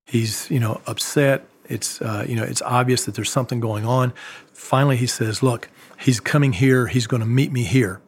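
A man, a former embassy security agent, recounting events in a measured, storytelling way with occasional fillers. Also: medium pitch, slightly rough. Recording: music bed underneath.

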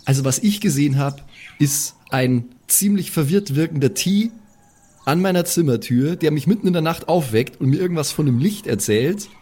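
A man's voice: on the high side, animated, normal speed, mellow, breathy edge. A man playing a dismissive, sardonic day-drinking woman in an improvised tabletop audio play.

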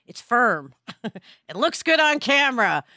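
A woman with a fairly high, very bright voice talks as a podcast co-host. She is middle-aged, animated and chatty, leaning on her points with emphasis. The sound is close and dry. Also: measured pace, no echo. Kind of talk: casual podcast discussion.

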